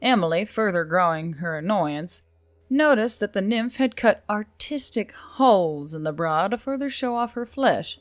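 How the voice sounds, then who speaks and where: A woman's voice: lowish voice, no room sound. A woman reading scripted fantasy fiction as an audiobook narrator.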